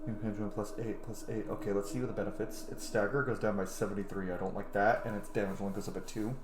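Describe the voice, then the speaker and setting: A man's voice: on the low side, little pitch movement, fast talker. Male streamer, 30s, casual and enthusiastic commentary, echoey room with noticeable background noise. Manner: enthusiastic, muses aloud, casually profane. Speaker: gaming streamer, let's play host. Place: indoor room at home. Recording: roomy echo, clear background noise.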